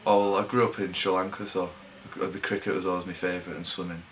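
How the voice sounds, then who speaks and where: A young man from England, a rugby league player giving low-key, halting interview answers. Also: little pitch movement, fairly bright tone, a little hiss or hum.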